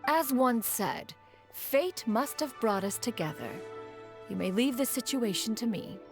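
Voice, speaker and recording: A woman's voice: a little high, animated, a little slow, over music. A woman voicing a dignified, self-assured video game immortal who speaks formally, precisely and in archaic turns. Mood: composed.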